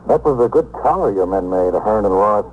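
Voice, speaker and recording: Thin-sounding low-voiced radio detective, played by a man. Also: expressive, fairly quick, narrow, tinny audio, lightly acted. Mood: businesslike. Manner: plain-spoken, businesslike. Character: practical police detective reporting to his captain. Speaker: actor in a radio police drama. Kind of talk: 1950s radio acting. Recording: noisy, phone-thin 1950s radio recording.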